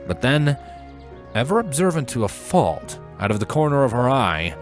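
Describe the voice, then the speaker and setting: A man narrating a scripted story in a measured, dramatic storytelling manner. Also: medium pitch, sing-song. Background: music.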